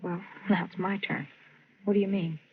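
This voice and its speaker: Casting: steady, compassionate female hostage, plain-spoken, on muffled old radio. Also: fairly low pitch, moderate pace, slightly rough. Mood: calm, earnest. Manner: plain-spoken, gently probing. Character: calm, sympathetic female captive confiding in the doctor. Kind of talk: old-time radio drama acting. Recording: muffled, hissy vintage radio recording.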